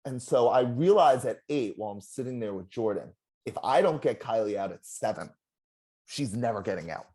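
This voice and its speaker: A former game contestant in a casual exit interview, telling stories in an animated, self-deprecating way with a loud voice. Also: mid-range voice, normal speed, slightly rough. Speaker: eliminated contestant, juror.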